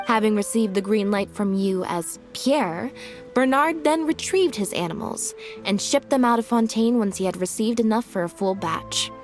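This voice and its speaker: A composed young woman detective as played by an actress lays out deductions in a video game. Her mid-pitched voice is measured, deliberate and articulate, with music underneath. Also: animated, natural voice. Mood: coolly accusing. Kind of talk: scripted video game dialogue. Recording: music behind the voice.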